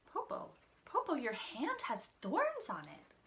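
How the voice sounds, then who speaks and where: A clever eldest sister from a folktale, played by a woman reading aloud, wary and questioning. Her voice is raised and bright, with lively swings in pitch. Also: unhurried, heavily acted. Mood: puzzled.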